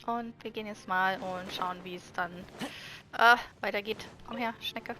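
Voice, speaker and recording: A woman's voice: mid-range voice, fairly even intonation, normal speed, sharp tone, poor audio. A woman giving casual let's play commentary, informally thinking aloud as she goes. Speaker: gaming streamer.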